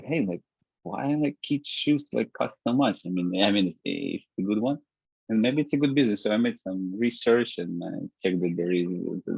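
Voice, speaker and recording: Low-voiced man, halting. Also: creaky, a little mellow, slightly rough, no room sound, muffled recording. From Ukraine. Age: 30s. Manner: halting, many restarts, leans on "so" and "like". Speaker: guest, startup founder. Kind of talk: casual interview talk.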